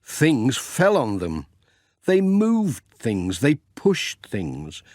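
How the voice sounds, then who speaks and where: A man narrating a children's storybook in a measured, expressive storytelling manner. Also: mid-range voice, no echo, clean studio sound. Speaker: audiobook narrator.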